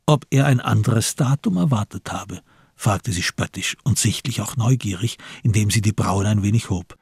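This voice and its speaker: A man's voice: lowish voice, moderate pace, gravelly, a little mellow, husky, no room sound. A man narrating a radio crime drama in scripted, literary prose.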